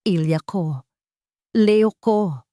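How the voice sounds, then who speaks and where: A woman's voice: medium pitch, extremely slow, dark tone, dry recording. A woman plays a poor, loving father in a narrated drama, anguished about his child. The delivery is emotional and pleading, recorded dry and close. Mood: tender, pained. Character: troubled, tender poor father afraid of losing his child.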